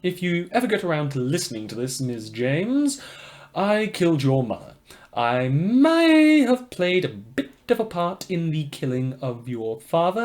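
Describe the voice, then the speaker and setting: A man's voice: a little high, darkish tone. A man playing a polite but murderous radio host in a fiction podcast, wordy and theatrical, with a genteel, mock-apologetic manner. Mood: calm confession. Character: polite, murderous, inhuman male radio host, darkly cheerful. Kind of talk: scripted fiction podcast monologue as radio broadcast.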